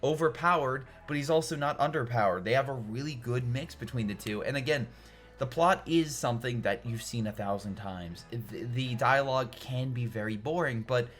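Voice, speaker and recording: A man's voice: mid-range voice, moderate pace, fairly bright tone. Casual male film reviewer over music. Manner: informal, emphatic, rambling with repeated qualifiers. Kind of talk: casual opinionated video review. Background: background music.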